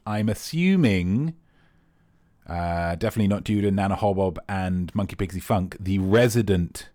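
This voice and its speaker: A man's voice: low-pitched, mellow, dry recording, studio quality. A man hosting a live comedy quiz, rambling and playful with teasing asides to the players. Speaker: quiz host.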